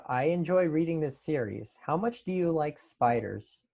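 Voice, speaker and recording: Host doing a casual q&a, reading fan questions out, pretty enthusiastic, mid pitched voice. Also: lively intonation, normal speed, gravelly, a little mellow. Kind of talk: casual panel Q&A.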